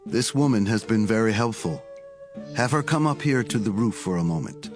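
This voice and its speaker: A man playing an authoritative, caring Hebrew prophet in a dramatized scripture audiobook, with a measured, commanding way of speaking. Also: lowish voice, animated, faint music behind, lightly acted. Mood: considerate.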